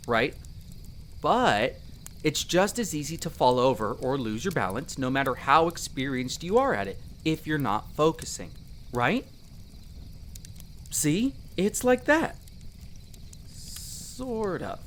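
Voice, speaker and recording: A man's voice: fairly high pitch, a bit bright, slight background noise. A man voicing a friendly, eager young elf explorer in a roleplay audio drama, chatting playfully and explaining patiently to the listener. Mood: reassuring, playful. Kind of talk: in-character conversational roleplay addressed to the listener.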